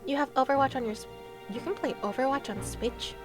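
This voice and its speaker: A young woman chats casually as a livestream host, with game music clearly behind her. Her voice is fairly high. She talks in a playful, giggly way and exclaims readily. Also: a little fast. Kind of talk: casual chat with stream viewers over a game.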